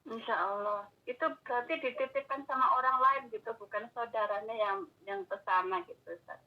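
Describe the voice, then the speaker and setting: A woman's voice: a little high, brisk. A woman respectfully putting questions to a religious teacher in a polite, deferential way. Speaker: audience member asking the teacher questions. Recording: dull telephone-like online call audio.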